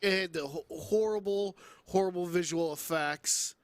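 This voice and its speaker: A man's voice: high-pitched, moderate pace, dry recording. A man hosting a podcast, chatting casually about movies in a boisterous, teasing, opinionated way. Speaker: podcast host. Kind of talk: casual movie debate chat.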